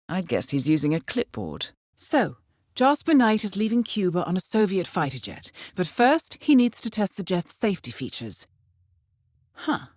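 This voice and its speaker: An actress playing a cool, composed intelligence handler who briefs an assassin in a video game, speaking formally and with dry understatement. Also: female voice, medium pitch, no room sound.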